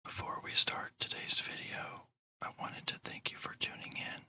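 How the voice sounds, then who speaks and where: Casting: ASMR meditation guide who whispers throughout, crisp and breathy, with slow, deliberate, soothing delivery. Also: pushed and bright. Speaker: ASMR channel host and meditation narrator.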